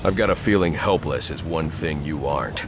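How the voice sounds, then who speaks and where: An actor playing a grim, relentless masked vigilante in a video game, gravelly, terse and stern. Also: male voice, rumbling low, normal speed, over music. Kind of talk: video game voice acting with brooding narration.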